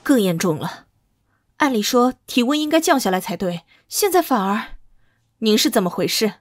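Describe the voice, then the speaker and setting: A woman playing a gossipy young medic in an animated drama, expressive and chatty. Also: on the high side, normal speed, soft background music. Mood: worried. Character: lively, gossipy young female knights' medic. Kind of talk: Chinese animated drama acting.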